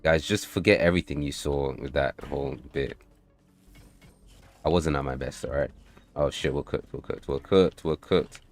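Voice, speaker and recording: A man livestreaming while he builds and tests his game, chatting loosely with viewers, swearing and bursting into excited outbursts. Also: rumbling low, moderate pace, faint music behind. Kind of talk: casual livestream chat while coding and playtesting.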